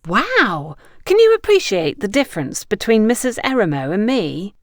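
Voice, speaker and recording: A woman playing a troubled, impulsive young woman, swooping pitch. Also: sing-song, moderate pace, no echo, clean studio sound. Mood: playful. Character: troubled young woman, needy, impulsive, remorseful half-sister. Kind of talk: audiobook character dialogue.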